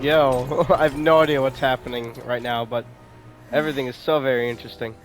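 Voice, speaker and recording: A man's voice: medium pitch, fairly even intonation, a little fast, poor audio. A man giving casual, informal live reactions as he plays a video game. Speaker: gaming video host. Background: game music.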